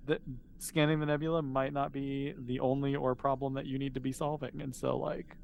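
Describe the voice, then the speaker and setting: Casual male game master, mid-pitched, conversational and explanatory, improvising tabletop narration over faint noise. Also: flat delivery, fairly quick, gravelly, dull audio.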